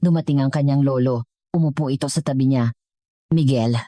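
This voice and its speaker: A Filipino woman narrates a scripted dramatic story in a strikingly low voice. Her tone is on the mellow side, and the recording is dry with little room sound. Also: fairly even intonation, quick pace, no echo.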